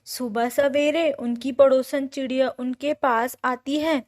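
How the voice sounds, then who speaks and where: A woman's voice: high voice, fairly even intonation, takes it slowly, darkish tone. A woman narrating a scripted children's moral story.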